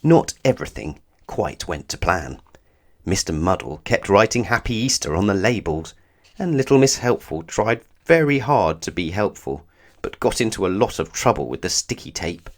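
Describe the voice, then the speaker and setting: A man reading a children's storybook aloud as narrator, with expressive, measured phrasing. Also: deep voice.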